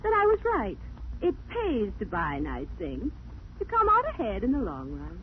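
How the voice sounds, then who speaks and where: A woman's voice: medium pitch, wildly swooping pitch, moderate pace. An actress playing a plucky, pert young woman sleuth with theatrical delivery in a 1940s radio drama. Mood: playful, pleased. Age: young adult. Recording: scratchy, tinny 1940s radio broadcast.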